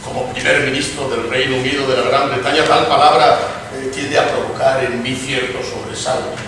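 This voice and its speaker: A man's voice: mid-range voice, flat delivery, moderate pace, crowd noise, natural voice. A man playing a courtly, uneasy British prime minister in a dramatized reading, formal and hesitant. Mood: wry unease. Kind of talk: dramatized stage reading, radio theatre. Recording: very echoey room, distant, noisy.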